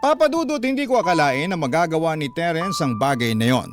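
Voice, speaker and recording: A man's voice: a little high, big pitch swings, fairly quick. A man narrating a letter in the first person as a dramatic radio storyteller. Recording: prominent instrumental music behind narration. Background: instrumental music.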